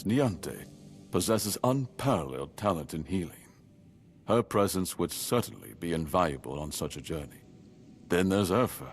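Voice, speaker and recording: An actor playing a solemn, duty-bound noble lord in a game, speaking in a measured, stately way. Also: male voice, sits low. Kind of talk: voiced game dialogue.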